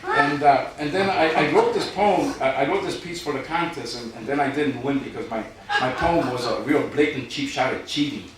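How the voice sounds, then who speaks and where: A middle-aged man reading his poetry live, with informal asides and a wry, deadpan storytelling manner. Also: mid-range voice, normal speed, hardly any pauses. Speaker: poet. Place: live event in a reverberant room, audience present. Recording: very echoey hall, distant, noisy.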